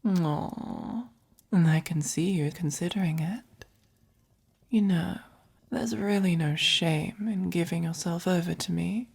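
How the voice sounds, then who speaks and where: Woman playing a whispery, low English vampire. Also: full character voice. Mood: coaxing, possessive. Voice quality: breathy, whispery. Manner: slow, coaxing, gently commanding. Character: gentle, possessive, doting dominant vampire keeping a human pet. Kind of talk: soft-spoken ASMR roleplay.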